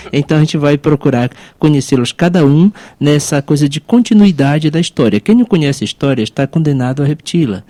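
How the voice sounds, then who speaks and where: A man's voice: mid-range voice, warm tone, no echo. A man with a Brazilian accent speaking as a priest and podcast host, explaining things reflectively in a conversational way. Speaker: priest, podcast co-host and university chaplaincy advisor.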